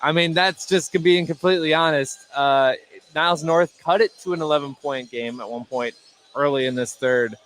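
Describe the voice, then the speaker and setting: A man's voice: a little high, normal speed, fairly bright tone. A man giving conversational color commentary at a live basketball game, repeating words for emphasis. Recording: faint gym noise behind. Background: sports gym ambience.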